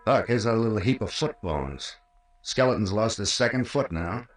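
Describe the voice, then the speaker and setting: An actor playing a bold, shrewd captain, mocking and self-assured, in 1940s radio mystery style. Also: male voice, fairly low pitch, animated, moderate pace, faint music behind, close to an everyday voice. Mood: wry, brisk. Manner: commanding, taunting, self-assured. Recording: echoey old-time radio recording.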